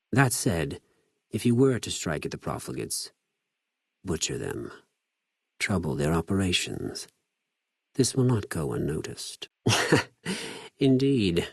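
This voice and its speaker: A cold male infiltrator from a fanatical legion for a video game. His voice sits low with a breathy rasp, recorded very dry and close. He speaks in formal, measured phrases, menacingly polite and full of disdain. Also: dry recording, heavily acted. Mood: commanding, proud, then contemptuous. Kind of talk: scripted game character dialogue.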